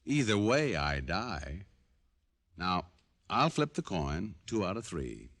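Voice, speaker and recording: Low-voiced, wisecracking radio private eye. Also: male voice, sing-song, slow, a bit bright, no room sound, clean studio sound, full character voice. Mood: cool, wry. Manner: wry, sardonic, breezy. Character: wisecracking, cool-headed hard-boiled private detective. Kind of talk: 1940s-50s radio detective acting, dialogue and narration. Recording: old radio broadcast recording.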